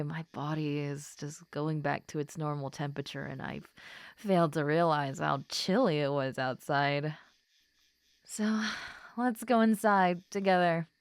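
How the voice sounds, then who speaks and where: A woman playing a tipsy, giddy, lovestruck schoolgirl in an intimate roleplay audio drama, with soft murmurs and a drawn-out, affectionate delivery. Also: low voice, no echo. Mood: relaxed, affectionate.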